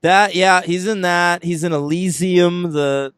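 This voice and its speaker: A man's voice: high-pitched, steady tone, a little slow, fairly bright tone, clear, resonant tone, no echo, studio quality. A man co-hosting a film podcast, chatting casually and joking as he reviews movies. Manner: joking, chatty, laughs often. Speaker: podcast co-host.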